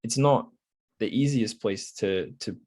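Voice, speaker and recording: A man with a notably low voice talks casually as a podcast host. He feels his way through thoughts about a book, hesitating, doubling back and rewording as he goes. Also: unhurried, darkish tone. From Scotland. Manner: hesitant, self-correcting, rambling.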